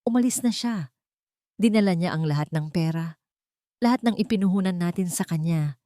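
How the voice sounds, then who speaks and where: A woman's voice: fairly low pitch, moderate pace, warm tone, no room sound, studio quality, close to an everyday voice. A woman voicing a defeated, desperate grown son in a story, in a broken, despairing manner.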